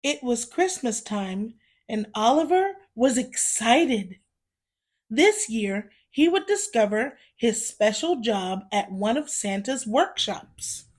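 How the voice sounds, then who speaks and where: Slow, high-voiced woman reading a storybook. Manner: expressive, deliberate storytelling for young children. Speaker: children's story reader. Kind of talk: scripted storybook read-aloud narration.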